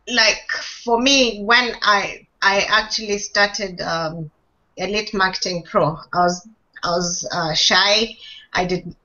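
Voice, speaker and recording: Woman on a video call, talks real slow and unsure, muffled lo-fi sound. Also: mid-range voice, fairly bright tone. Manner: hesitant, many pauses and restarts. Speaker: online marketing member. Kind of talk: live video call chat. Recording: dull lo-fi video call audio.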